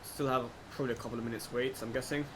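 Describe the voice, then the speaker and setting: Guy doing chill lets play commentary, thinking out loud, medium pitch, noticeable hum behind him. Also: very fast. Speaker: gaming video host. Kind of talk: casual let's play commentary. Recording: clear background noise, steady hum.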